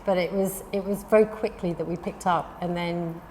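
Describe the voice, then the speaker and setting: Woman interview host, encouraging, low-pitched, reverberant. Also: fairly even intonation, moderate pace, a little mellow. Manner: encouraging, frequent "yeah" backchannels. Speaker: gallery host. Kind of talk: interview hosting. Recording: long reverb, faint background noise.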